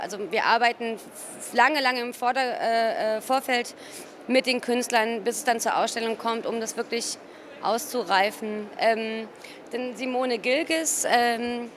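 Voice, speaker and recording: Woman from cologne explaining stuff at an art fair, highish voice, keeps stopping to find words, bit of hall noise behind. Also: fairly bright tone. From Cologne, Germany. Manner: hesitant, pausing often to search for words. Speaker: gallerist presenting artworks. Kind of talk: informal spoken interview. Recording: noisy art fair ambience. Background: ambient fair noise.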